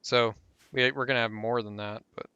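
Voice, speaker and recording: A man's voice: medium pitch, normal speed, smooth, no echo, highs cut off. Man with a tired, fading voice. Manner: relaxed, rambling, trails off with fillers. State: losing his voice. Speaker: gameplay guide host. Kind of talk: casual game commentary.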